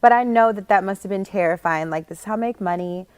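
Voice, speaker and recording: Relaxed woman host in her thirties, dry sound. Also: mid-range voice, fast, clear, resonant tone, dry recording. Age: 30s. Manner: relaxed, filler-heavy, says "like" often. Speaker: podcast host. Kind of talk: casual chat.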